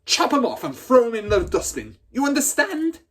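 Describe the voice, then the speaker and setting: A man voicing a huge, bullying headmistress in a storybook, barking and shouting with menace. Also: very high voice, huge pitch swings, moderate pace, voice pushed up, full character voice. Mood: furious bellowing.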